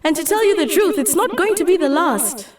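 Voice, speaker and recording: A woman's voice: extremely high pitch, sing-song, fairly quick, echoey room, far from the mic. A woman playing an earnest, idealistic young Kenyan activist in a dramatic motion comic, explaining and persuading. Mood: impassioned.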